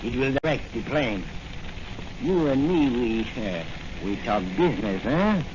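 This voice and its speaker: A man's voice: mid-range voice, expressive, unhurried, close to an everyday voice. A man playing an oily, scheming foreign spy captain in a 1940s radio serial, with stilted, formally polite phrasing. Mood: oily, bargaining. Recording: noisy, muffled 1940s radio recording.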